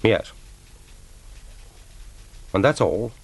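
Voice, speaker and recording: An actor in a radio play voicing a cool British secret agent with dry, polite, businesslike, clipped speech. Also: male voice, fairly low pitch, animated, extremely slow, husky, full character voice. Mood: polite, reserved. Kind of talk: radio drama acting.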